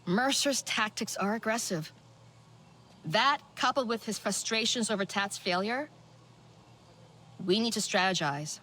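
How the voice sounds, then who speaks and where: A seasoned woman leading a resistance cell in a video game. She speaks soberly, with authority, in a medium-pitched voice. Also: measured pace, long pauses, pushed and bright. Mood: grave. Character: sober, seasoned human resistance leader briefing her team. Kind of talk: cinematic video game dialogue.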